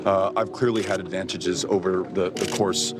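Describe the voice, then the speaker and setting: A man giving a personal statement in a hesitant, halting way. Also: sits low, steady tone, loud music behind the voice.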